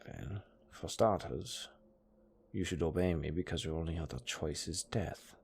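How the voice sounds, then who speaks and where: A man with a German accent playing a cold, condescending necromancer master in an audio roleplay, speaking in a deadpan, unhurried, gravelly way. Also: low voice, exaggerated voice. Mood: commanding, coolly menacing. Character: cold, dryly matter-of-fact male necromancer master.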